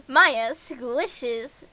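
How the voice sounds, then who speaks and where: A woman game streamer with a high, bright voice reads a visual novel aloud at an unhurried pace. She is playful, slipping into muttered asides and little nonsense syllables in a casual livestream style.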